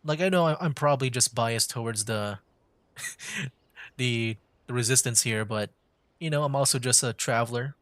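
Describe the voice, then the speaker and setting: A man's voice: medium pitch, normal speed, fairly bright tone, no room sound. A man giving casual livestream commentary as he plays a game. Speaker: streamer. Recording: game music behind the voice.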